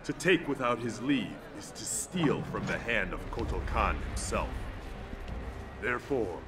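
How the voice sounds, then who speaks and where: A man's voice: fairly low pitch, animated, measured pace, over music. A man playing a stern imperial official in a fantasy game, declaiming in a formal, pompous, merciless manner. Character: stern imperial official pronouncing harsh sentences.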